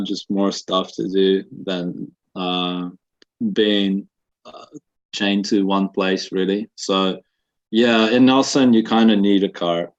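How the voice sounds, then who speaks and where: Young russian guy with a bit of a kiwi accent, slow and halting, lots of ums, lowish voice, muffled lo-fi audio. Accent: Russian with a slight New Zealand accent. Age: 20s. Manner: halting, many fillers and restarts. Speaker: guest. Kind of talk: casual interview answers. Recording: dull lo-fi webinar audio.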